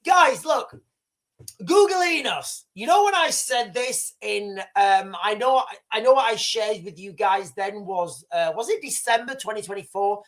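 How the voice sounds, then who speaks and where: A man's voice: sits high, expressive, unhurried, fairly bright tone. Rambling man in his forties. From United Kingdom. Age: 40s. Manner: rambling, repeats himself, keeps calling viewers "guys". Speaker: livestream host. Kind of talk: casual live-stream commentary.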